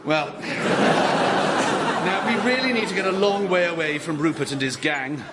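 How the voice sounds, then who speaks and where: An actor playing an earnest, worried sidekick who explains and frets in a radio sitcom. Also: male voice, sits high, measured pace, heavy background noise. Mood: fretful. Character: anxious, earnest male sidekick and old friend.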